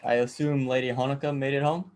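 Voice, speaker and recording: A man's voice: medium pitch, flat delivery, fast, a little mellow, close to an everyday voice. A man playing a smug, amused aristocrat lord in a dramatic script reading, with a wry, breezy manner. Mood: flustered, breezy.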